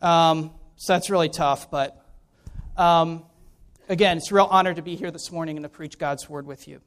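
High-voiced American man, clear preaching voice. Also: steady tone, moderate pace, a bit bright. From Maryland, United States. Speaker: guest preacher, pastor. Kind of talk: informal spoken introduction to a sermon.

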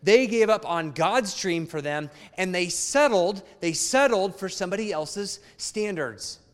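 High-voiced male pastor in an echoing church. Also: animated, unhurried. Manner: emphatic, repeats key phrases, conversational asides to congregation. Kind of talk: sermon. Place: church sanctuary.

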